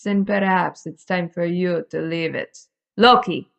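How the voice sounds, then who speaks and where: A haughty female fake psychic played by an actress speaks low and very slowly, with gravel at the edges. She is formal, imperious and threatening, a villainess in an old-style radio comedy. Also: steady tone, fairly bright tone. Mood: haughty, cool. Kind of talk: comedy audio drama in old radio manner.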